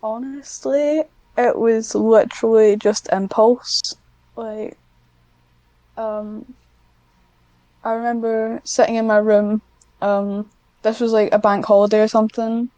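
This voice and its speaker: A woman's voice: fairly high pitch, level tone, takes it slowly, pauses often, mellow, no room sound, muffled recording. A woman chatting casually as an interview guest, informal and self-deprecating. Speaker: podcast guest, amateur script writer.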